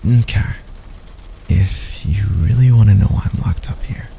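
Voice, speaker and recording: Male actor as a teasing young fantasy prisoner, intimate ASMR, low creaky voice, breathy playful asides, unhurried, faint background noise. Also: dark tone. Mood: playful, coaxing. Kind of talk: intimate ASMR boyfriend roleplay.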